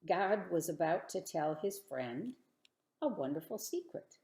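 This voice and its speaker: Lady reading a bedtime story, super expressive, bit of creak, echoey room. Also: on the low side, measured pace. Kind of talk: expressive children's storybook narration.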